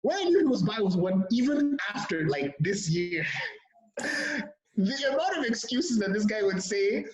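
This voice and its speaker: A man co-hosting a casual sports podcast, animated and laughing often, with plenty of fillers. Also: sits high, unhurried, crowd noise, poor audio. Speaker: podcast co-host.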